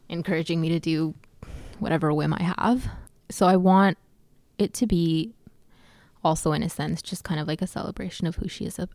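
Young woman filmmaker, low and gravelly, hesitant interviewee. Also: no echo. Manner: soft-spoken, hesitant with many fillers. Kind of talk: casual interview answers.

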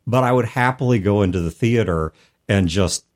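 A man's voice: on the low side, moderate pace. A man co-hosting a casual discussion, explaining things through everyday analogies. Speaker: podcast co-host. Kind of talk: casual discussion of tabletop role-playing.

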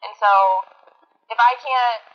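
A young woman in her twenties with a high-pitched voice, a college basketball player answering interview questions earnestly, with many restarts. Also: level tone, a little slow, muffled recording. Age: 20s.

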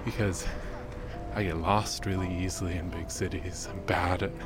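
Male field recordist, somewhat low voice, casual diary delivery, unsure and self-effacing, music clearly underneath. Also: fairly even intonation, normal speed. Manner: hesitant, self-deprecating. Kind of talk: casual spoken audio diary. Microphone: handheld microphone.

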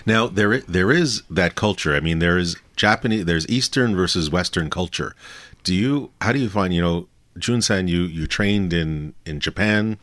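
A man's voice: low-pitched, moderate pace, fairly bright tone, no echo. A man co-hosting a business radio show, asking long, conversational questions with many restarts. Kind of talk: business interview.

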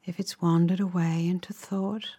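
A woman leading a guided meditation in a calm, measured, instructive way, with soft, breathy phrase endings. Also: on the low side.

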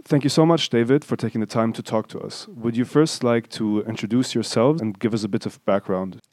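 A male host reading a prepared introduction and asking interview questions in a smooth, scripted way. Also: medium pitch, a little fast, darkish tone, no room sound, studio quality.

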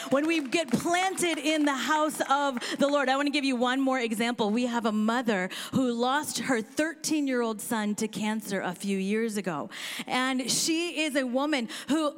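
A woman pastor, born in India and raised in Kansas, with a Midwestern American accent, preaching a sermon with impassioned swells that rise almost to shouting. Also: high-pitched. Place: church auditorium with live congregation.

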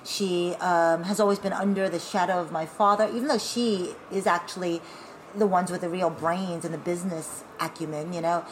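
Chatty, low-voiced Taiwanese-born woman. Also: normal speed, a little hiss or hum, low-quality recording. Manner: chatty and animated, many fillers, laughing asides. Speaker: guest, burlesque performer. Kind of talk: casual interview conversation.

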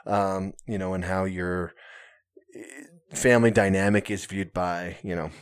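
A man with a lowish voice chats on a podcast at an unhurried, slow pace. He hesitates often, filling gaps and restarting sentences. There is a wry, self-mocking humor under it. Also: steady tone, clean tone. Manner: hesitant, many fillers and restarts. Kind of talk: casual conversation.

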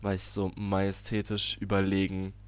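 A young man with a low voice makes dry, teasing remarks in casual conversation. His delivery stays deadpan as he jokes. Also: moderate pace. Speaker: podcast co-host. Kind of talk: casual chat.

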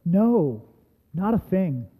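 A man's voice: sits high, sing-song, very slow, breathy edge, full character voice. A man playing a devoted, fervent disciple in a dramatic scripture reading. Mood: earnest. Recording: thin phone-like sound, reverberant hall.

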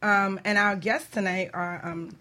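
A woman's voice: medium pitch, fairly even intonation, a little slow, bright, forceful tone. A woman who works as a life coach, co-hosting a wellness radio show in casual chat. She laughs often and jokes about herself. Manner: bubbly, laughs often, self-joking.

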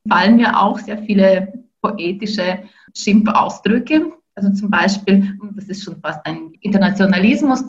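A woman's voice: medium pitch, highs cut off. A woman in her forties, a native speaker of Ukrainian, answering interview questions as a language researcher, thoughtful and full of small fillers and restarts. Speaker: linguistics researcher.

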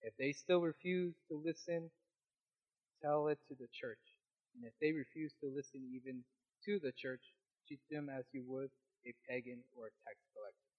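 A man with a small, quiet voice reading scripture aloud in a Bible study, steady with occasional restarts. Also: mid-range voice, moderate pace, breathy edge. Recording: dull lo-fi recording.

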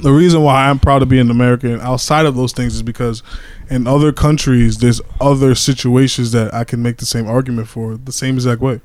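A man's voice: mid-range voice, steady tone, darkish tone. A man, a podcast co-host making his case in a casual, argumentative way. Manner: fast, argumentative, emphatic. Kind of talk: casual debate.

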